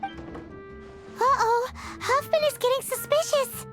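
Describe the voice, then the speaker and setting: A woman voicing a tiny, excitable, childlike fairy companion in a video game, squeaky and full of exclamations. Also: slow pace, heavily acted. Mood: panicked. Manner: squeaky, exclamatory, speaks of self in third person. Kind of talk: video game character acting. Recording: loud game music behind.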